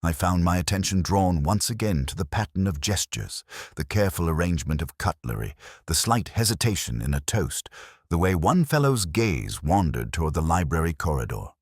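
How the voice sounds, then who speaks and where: A man narrating a detective story in the first person, in a measured, formal and reflective way. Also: low voice, very animated, no echo, studio quality.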